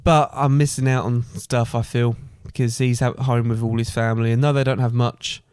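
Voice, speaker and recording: A man's voice: mid-range voice, level tone, moderate pace, darkish tone, clean tone, no echo, studio quality, voice pushed down, natural voice. A man playing a bumbling, puzzled miser in an improvised comic amateur pantomime, rambling hesitantly in an everyday voice. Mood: rambling, tired. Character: chatty, bumbling Scrooge, tired and puzzled.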